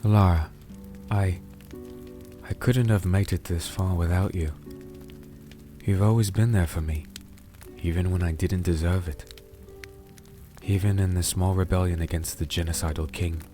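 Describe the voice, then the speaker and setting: An actor playing a weary, disenchanted crusader who narrates a dark fantasy audio drama in a slow, solemn, gentle way. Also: male voice, low voice, fairly even intonation, close to an everyday voice. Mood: earnest, subdued. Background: music with rain sounds.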